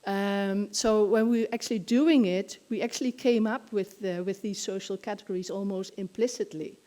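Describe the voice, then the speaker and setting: A woman's voice: medium pitch, normal speed, dark tone. An older woman from the Netherlands giving an academic conference presentation, thinking aloud with some hesitation. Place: hotel conference room. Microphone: podium gooseneck microphone.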